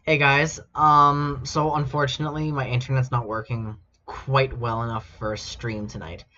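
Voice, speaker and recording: A man's voice: medium pitch, little pitch movement, normal speed, bright, forceful tone. A man streaming casually and reading fan fiction aloud in a mock-dramatic, amused and incredulous way. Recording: dull lo-fi mic with music behind. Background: background music.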